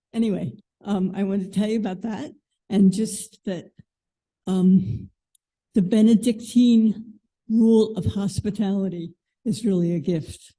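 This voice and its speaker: A woman's voice: medium pitch, dark tone. A woman from a congregation sharing a casual, personal reflection aloud.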